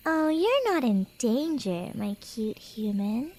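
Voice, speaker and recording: Woman playing a high-voiced, teasing Cheshire cat girl. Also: big pitch swings, drawn-out words, a little mellow, exaggerated voice. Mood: dreamy, sly.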